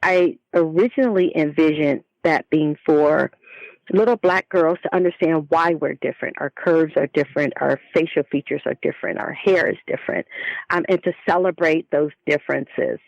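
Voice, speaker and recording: A woman's voice: sits low, moderate pace, vocal fry, dry recording, muffled recording. A woman speaking as a children's author in an interview, explaining things thoughtfully in a conversational way.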